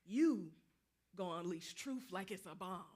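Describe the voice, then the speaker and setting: A woman's voice: fairly low pitch, expressive, unhurried, noisy background. A woman acting restless female spirit, dead soldier, taunting, insistent, vernacular speech, stage drama.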